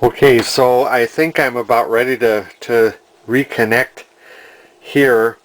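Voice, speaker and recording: A man giving an informal hands-on repair demonstration in a halting way, thinking aloud as he explains. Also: mid-range voice, fairly even intonation, poor audio. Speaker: hobbyist radio repairer, presenter. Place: indoor workshop at a workbench.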